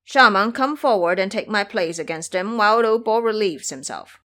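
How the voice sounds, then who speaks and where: A woman's voice: medium pitch, expressive, moderate pace, pushed and bright. A woman voicing a brash, foolish male pig-monk warrior, boastful and blunt, in an audio drama. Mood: sly.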